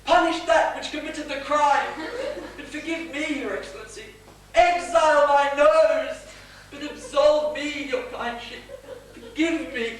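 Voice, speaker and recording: High-pitched, grovelling young clerk played by a woman, distant and echoey. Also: fairly even intonation, unhurried. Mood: pleading. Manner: flustered, pleading, over-polite, stammering. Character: anxious, grovelling young male minor clerk, obsessively apologetic. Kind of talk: farcical amateur stage comedy. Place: small theatre stage, far from mic. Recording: distant, echoey, noisy theatre stage recording.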